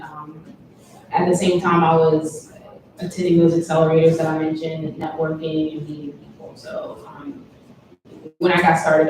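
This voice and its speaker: Woman founder on a panel, lowish voice, explanatory, big echoey room, far mic. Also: darkish tone, slight background noise. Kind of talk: casual panel talk.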